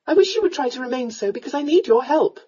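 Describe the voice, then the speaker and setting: Prim, high-pitched young Regency lady, acted by a woman. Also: animated, quick pace, dark tone, husky, highs cut off. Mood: disapproving. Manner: formal, precise, flustered.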